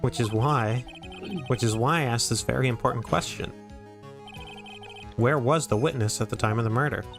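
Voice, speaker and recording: A man voicing an earnest, determined young defense lawyer in an amateur courtroom game fan dub, with a deliberate, accusing manner. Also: medium pitch, gravelly, music in the background, close to an everyday voice. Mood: methodical, pressing.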